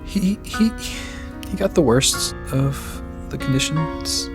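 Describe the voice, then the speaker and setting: A man playing a gentle, nervous young vet in an audio drama, polite and given to hesitant stammering. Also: fairly high pitch, takes it slowly, gravelly, over music. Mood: sombre, earnest.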